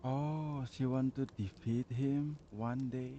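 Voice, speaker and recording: A man live-streaming a game, thinking aloud in halting, casual as he reacts to the dialogue. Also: medium pitch, steady tone, mellow. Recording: dull mic with faint noise.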